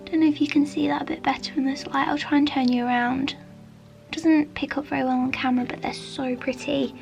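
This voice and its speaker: A woman chats to her camera in a studio, voice high and gravelly with a croak from a chest infection. She talks casually with lots of ums and quiet asides, light music faint behind her. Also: steady tone, fairly quick. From England. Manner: chatty, with many ums, soft asides. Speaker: vlogger, artist. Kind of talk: casual studio vlog chat. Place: art studio indoors.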